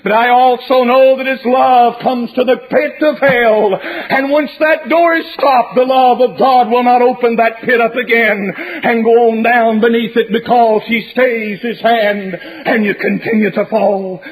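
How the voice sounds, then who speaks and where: A male preacher with a strikingly high voice delivers a fire-and-brimstone sermon. His delivery is dramatic and repetitive, stretching out phrases as he drives each warning home. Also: fairly even intonation, measured pace, hardly any pauses, poor audio.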